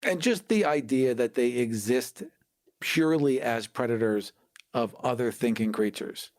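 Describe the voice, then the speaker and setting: A man talks casually as a podcast host. His voice is medium in pitch and recorded very dry. He is blunt and opinionated, repeating phrases to drive them home. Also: a little slow, darkish tone, no echo. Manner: opinionated, blunt, emphatic repetition.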